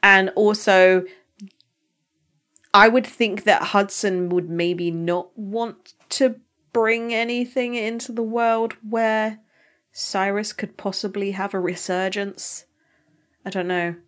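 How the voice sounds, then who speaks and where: A young British woman co-hosting a book podcast, speaking candidly in casual chat and telling personal stories with frequent restarts, her voice going hoarse. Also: medium pitch, fairly even intonation, takes it slowly, fairly bright tone. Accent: British English. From United Kingdom. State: losing her voice. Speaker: podcast co-host.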